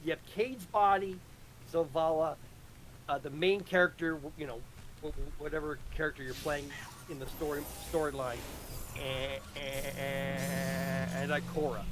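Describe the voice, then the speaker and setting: A man talking casually about a game story, haltingly, repeating words as he thinks aloud. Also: on the high side, steady tone, background noise. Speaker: host presenting his audio drama.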